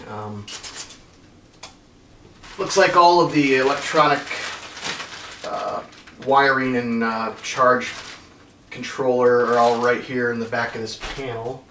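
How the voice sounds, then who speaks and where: A man in his thirties unboxing and reviewing camping gear at home, talking in a relaxed, plain way with many ums. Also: mid-range voice. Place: carpeted living room at home. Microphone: far camera. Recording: roomy, from far off, faint noise.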